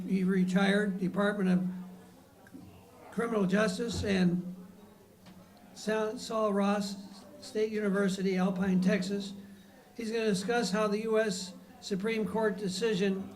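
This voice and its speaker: A man's voice: high voice, poor audio. A man hosting a club meeting, reading announcements and introducing the guest speaker with hesitant fillers.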